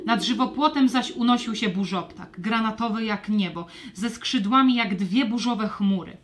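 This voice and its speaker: A woman narrating a scripted children's story in a dramatic, expressive manner. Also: on the high side, moderate pace.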